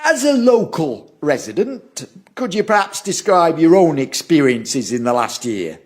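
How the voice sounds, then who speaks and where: Officious male bureaucrat, fairly high voice, pompous, formal wording, audio drama. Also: big pitch swings, a little slow. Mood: brisk, prompting. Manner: formal, pompous, stammers when challenged. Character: officious, condescending male council official at public meeting. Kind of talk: stage-like audio drama acting.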